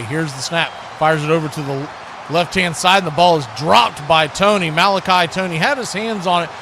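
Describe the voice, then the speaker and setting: A man calling live football play-by-play as a radio announcer. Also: on the high side. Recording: clearly noisy background hiss and ambience.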